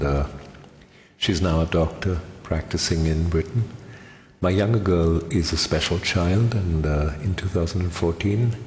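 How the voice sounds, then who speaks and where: An older man from India with a very low voice, recalling his time singing karaoke in a reflective, self-deprecating way with many pauses. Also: darkish tone, a little hiss or hum. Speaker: amateur karaoke singer. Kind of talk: personal recollection. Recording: echoey room with long reverb.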